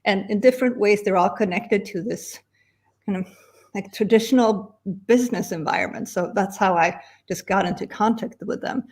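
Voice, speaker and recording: A woman from Sweden, talking as a guest on a video call. She speaks at a middling pitch and often restarts her sentences, with soft laughs in between. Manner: halting, many restarts and little laughs. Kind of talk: casual interview chat.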